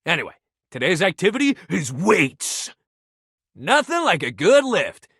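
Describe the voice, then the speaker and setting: Upbeat game jock: friendly bro hype, bright forceful delivery, wildly swinging pitch, dry close recording. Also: male voice, wildly swooping pitch, a little slow, husky, no room sound, exaggerated voice. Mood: excited, encouraging. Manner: bro-ish, casual slang, hype-man energy. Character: upbeat, friendly jock sports-equipment guy, hyping up a newcomer. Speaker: video game character. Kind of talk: animated video game voice acting.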